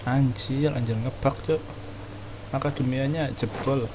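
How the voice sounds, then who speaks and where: A man's voice: mid-range voice, steady tone, normal speed, music in the background, low-quality recording. A man with an Indonesian accent giving casual, slangy gameplay reactions in short muttered remarks with swearing. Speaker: game streamer.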